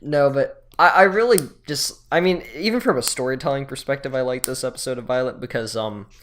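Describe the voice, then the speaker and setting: A man co-hosting a casual group chat about anime, talking in a halting, insistent way. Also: medium pitch, fairly bright tone. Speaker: podcast co-host reviewing anime.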